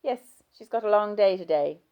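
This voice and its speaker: A woman voicing a chatty, gossipy nurse who talks briskly. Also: mid-range voice, animated, smooth, highs cut off, voice pushed up, close to an everyday voice. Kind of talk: audiobook character voice.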